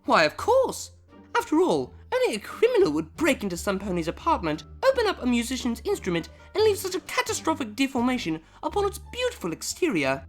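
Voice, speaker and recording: A prim, cultured female pony, played by a woman, speaks high and with sweeping intonation. She is formal and exact, with dry menace under the politeness, as in a comic audio drama over faint music. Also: huge pitch swings, fairly quick. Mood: polite menace. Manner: formal, precise, drily menacing. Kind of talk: scripted comedy fan audio drama.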